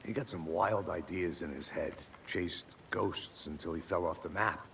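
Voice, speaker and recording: Veteran fed, game role. Very deep, creaky, dry, laconic, over a low rumble. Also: male voice, moderate pace, a bit bright. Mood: weary, matter-of-fact. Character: world-weary, laconic veteran male FBI agent. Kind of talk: video game dialogue.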